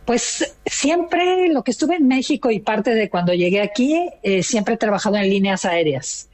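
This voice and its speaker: A woman's voice: a little high, animated, moderate pace, a little mellow. A retired older woman from Mexico with a Mexican accent, chatting proudly as a caller on a radio talk show. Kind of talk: casual chat.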